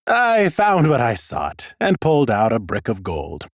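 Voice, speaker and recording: A man reading scripted first-person fantasy narration for an audiobook in an expressive, theatrical way. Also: mid-range voice, a little slow, no echo, clean studio sound.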